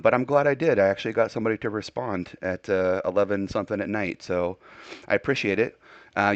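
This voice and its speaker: A man's voice: lowish voice, clean tone, dry recording, muffled recording. An American man working as an amateur radio operator, speaking courteously in a casual on-air radio style. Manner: courteous, spells with phonetic alphabet.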